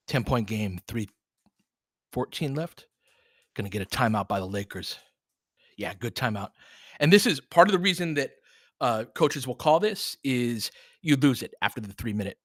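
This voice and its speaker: A man's voice: mid-range voice, a bit bright, dry recording, clean studio sound. A man doing casual live basketball play-by-play and analysis, chatting informally with listeners and urging the players on like a fan. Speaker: host of a basketball film-room audio stream.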